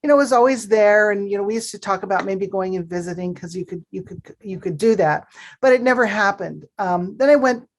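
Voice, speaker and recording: A woman raised in Pakistan, answering interview questions in a reflective, measured way with frequent fillers. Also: medium pitch. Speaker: guest.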